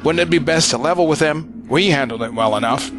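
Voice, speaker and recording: A man's voice: on the high side, brisk, music in the background, close to an everyday voice. Grim, plain-spoken army sergeant played by a man. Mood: earnest. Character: weary, frank male army sergeant in wartime. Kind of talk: war audio drama acting.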